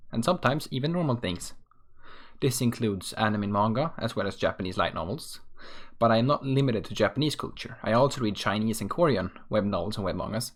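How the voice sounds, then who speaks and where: A young man from Sweden with a Swedish accent, reading a self-mocking solo rant from a script as host of his own show. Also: fairly low pitch. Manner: offhand, self-mocking, reads script aloud. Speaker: podcast host.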